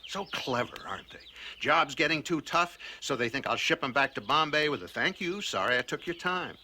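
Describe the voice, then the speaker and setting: A man's voice: on the high side, animated, normal speed, bright, forceful tone, exaggerated voice. An actor playing a stubborn, hot-tempered male colonial railway engineer in a radio drama, ranting with contempt. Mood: defiant, scornful. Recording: slightly noisy 1980 radio recording.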